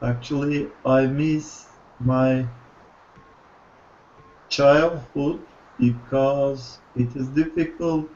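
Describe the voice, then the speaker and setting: Man, English learner, very slow, careful, dark warm voice, muffled sound. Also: medium pitch, fairly even intonation. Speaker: language student.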